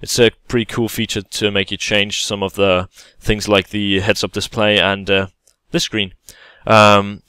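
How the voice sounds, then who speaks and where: A man talks through a game tutorial in a relaxed, halting way, often pausing and restarting. His voice is smooth and clear. The recording is dry and close, with no room sound. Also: fairly low pitch, dry recording. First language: Danish. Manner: hesitant, many fillers and restarts, casual explaining. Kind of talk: gameplay tutorial voice-over.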